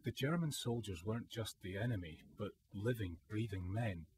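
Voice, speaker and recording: A man's voice: fairly low pitch, normal speed, darkish tone, lightly acted. A man acting a weary wounded young soldier in a radio drama, sombre and reflective about the war. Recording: faint hiss, student radio drama.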